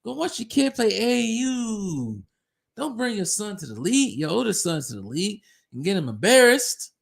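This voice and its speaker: Male sports ranter, gravelly and going hoarse, mocking tone, pitch leaping high and low. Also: big pitch swings, normal speed. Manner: mocking, scornful, pleading in jest. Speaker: sports commentator. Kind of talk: ranting basketball commentary.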